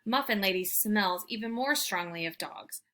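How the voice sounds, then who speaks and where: A woman reading a children's book aloud as a storyteller, with expressive, emphatic delivery. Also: mid-range voice, moderate pace. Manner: animated, emphatic, theatrical delivery.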